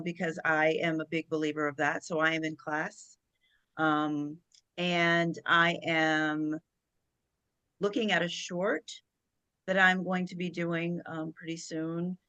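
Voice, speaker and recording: A woman's voice: fairly low pitch, steady tone, a little slow, pushed and bright, smooth. A woman, a guest actress on a video call, chatting casually and stressing her points with repeated phrases. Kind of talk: casual chat.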